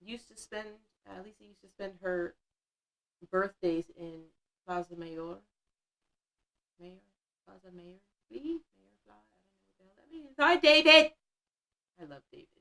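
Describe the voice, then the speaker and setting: A woman's voice: mid-range voice, lively intonation, unhurried, pauses often, pushed and bright, low-quality recording. Woman, fifties, chatty, blunt, laughs a lot, casual livestream host. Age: 50s. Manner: chatty, laughs often, blunt and self-mocking. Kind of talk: casual livestream chat reading the chat.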